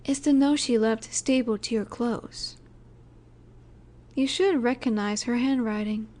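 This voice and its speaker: Soft, mellow, high-voiced female mafia boss acted by a woman. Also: steady tone, close to an everyday voice. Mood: cool, matter-of-fact. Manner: soft, unhurried, soothing yet in command. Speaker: audio roleplay voice actor. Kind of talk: intimate scripted audio roleplay, addressed to listener.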